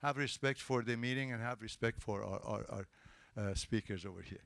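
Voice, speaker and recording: Firm, polite meetup host with a clear voice. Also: male voice, lowish voice, brisk, no echo. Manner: polite but firm, asks the crowd for quiet. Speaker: event host and interviewer. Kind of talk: hosting a live tech meetup, then interviewing. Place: event hall with a live audience.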